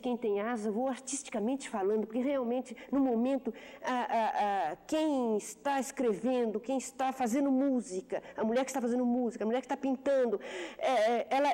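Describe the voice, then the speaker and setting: A woman in her fifties, a writer being interviewed on television, answering in a measured, reflective way with frequent hesitations. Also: a little high, warm tone, big-room sound. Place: television studio. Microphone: lapel mic.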